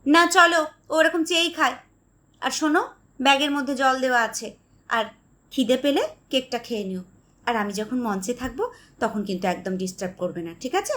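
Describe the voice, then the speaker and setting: A woman voicing a self-important housewife in a dramatized story, giving orders in a brisk, imperious manner. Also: high voice, expressive, pushed and bright, voice pushed up. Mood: impatient, bossy. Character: bossy, self-important middle-class housewife employer and mother.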